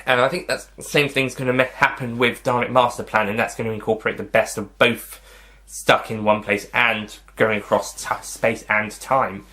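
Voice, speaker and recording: A man's voice: mid-range voice, little pitch movement, moderate pace, pushed and bright. A man hosting a casual video review, talking to viewers in a rambling, hesitant way and often rewording himself. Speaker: host of a video review channel.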